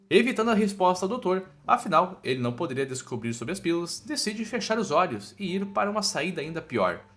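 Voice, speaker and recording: A man's voice: a little high, sharp tone. A Brazilian man narrating a scripted story recap informally, in colloquial, slangy language with asides to the listener. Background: background music.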